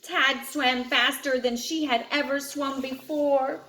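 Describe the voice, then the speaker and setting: A woman's voice: sits high, fairly even intonation, takes it slowly, roomy sound, background noise. A woman reading a picture book aloud expressively, as a storyteller to a child, with dramatic emphasis on key words.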